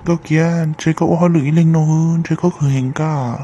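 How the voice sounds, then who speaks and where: An actor voicing a man in an animated family drama, in an amateur cartoon style. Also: fairly high pitch, a little fast, lightly acted. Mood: conversational. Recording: dull lo-fi sound, faint noise.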